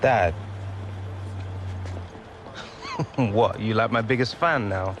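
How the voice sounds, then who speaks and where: An actor playing a young professional footballer in a video game, British-accented, with a casual, clipped, dry way of talking. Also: male voice, lowish voice, measured pace, a bit bright, a little hiss or hum. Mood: guarded. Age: young adult. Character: guarded, cocky young male professional footballer. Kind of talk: video game cutscene acting.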